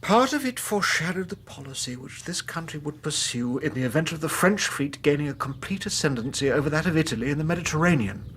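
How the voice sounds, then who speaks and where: An actor playing an earnest, anguished young British gentleman of the Foreign Office, an invalid, in a radio drama. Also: on the high side, animated, quick pace, few pauses, a little hiss or hum. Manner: earnest, troubled.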